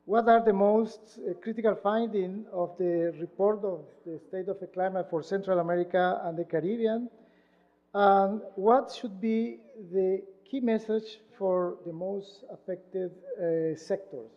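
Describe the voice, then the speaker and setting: A man's voice: high voice, measured pace. A man moderating a conference panel, asking questions from his notes. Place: conference hall. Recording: dull, reverberant hall sound.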